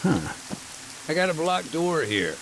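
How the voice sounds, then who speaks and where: An actor playing a middle-aged, war-weary former peacekeeper, speaking plainly with military jargon and dry humor, in a game cutscene. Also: male voice, fairly high pitch, big pitch swings, unhurried, background noise. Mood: calm. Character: war-weary male ex-peacekeeper telling his story. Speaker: interviewee. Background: music.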